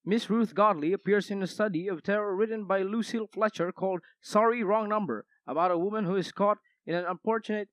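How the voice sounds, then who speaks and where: Sinister, pompous radio horror announcer, played by a young man, high voice, dry sound, theatrical delivery. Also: a little fast, few pauses, no echo, close to an everyday voice, rough recording. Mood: ominous. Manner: pompous, dramatic, snapping at times. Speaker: announcer introducing a radio thriller. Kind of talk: theatrical radio drama introduction.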